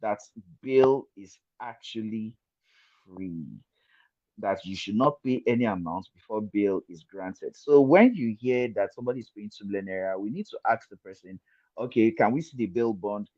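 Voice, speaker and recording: A man's voice: lowish voice, normal speed, darkish tone, no echo, highs cut off. A man on an online panel, explaining step by step and posing rhetorical questions. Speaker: panel guest. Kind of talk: explanatory commentary.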